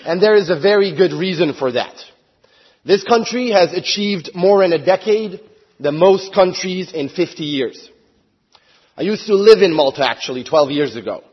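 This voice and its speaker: Earnest, husky-voiced man giving a speech. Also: sits high, animated, muffled recording. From Hungary. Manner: earnest, measured, rising to emphatic appeals. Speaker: member of parliament speaking at a conference. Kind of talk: prepared speech at a podium.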